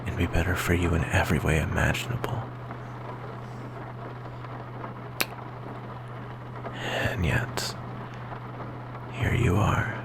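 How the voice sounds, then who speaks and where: A man playing a melancholy, insecure vampire boyfriend in an ASMR roleplay, speaking softly and hesitantly in a gravelly whisper. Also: low voice, level tone, background noise. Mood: tender, puzzled. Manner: soft, hesitant, intimate, slow with pauses.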